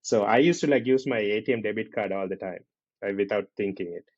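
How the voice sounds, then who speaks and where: Sri lankan guy chatting on a podcast, lowish voice, stop-start, dry but kinda muffled lo-fi sound. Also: darkish tone, no room sound. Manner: halting, repetitive, often tags questions with "right". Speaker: podcast host. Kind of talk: casual conversational podcast talk. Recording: dull lo-fi audio.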